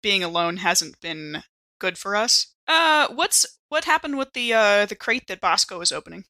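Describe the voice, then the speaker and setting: A woman with a bright, forward voice, recorded close and dry. She talks as a player in a tabletop role-playing game, composed and articulate as she slips between table talk and her character. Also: mid-range voice, big pitch swings, moderate pace, no echo. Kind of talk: conversational in-game role-play.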